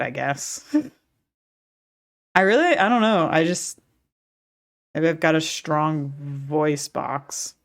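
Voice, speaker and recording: A young woman chatting casually as she streams a game and reads its dialogue aloud, laughing often and restarting her sentences. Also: sits low.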